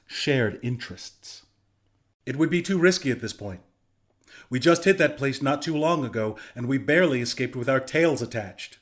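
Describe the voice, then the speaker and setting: A man plays a young griffin rebel from a video game, a former soldier. His medium-pitched voice is conversational and earnest. He speaks plainly, like someone explaining a plan to a comrade. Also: close to an everyday voice. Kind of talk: video game character dialogue.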